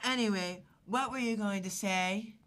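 A man voicing a bossy, scheming young daughter of a mafia boss in a falsetto voice. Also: unhurried, fairly bright tone.

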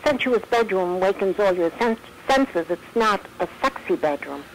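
A woman's voice: mid-range voice, expressive, normal speed, noisy background. A woman author being interviewed on a radio phone call, talkative and emphatic. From United States. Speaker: author guest.

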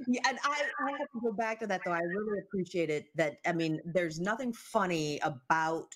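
A woman's voice: fairly low pitch, expressive, fairly quick, a bit bright. A woman hosting a talk show interview, chatty and stopping to restart her sentences.

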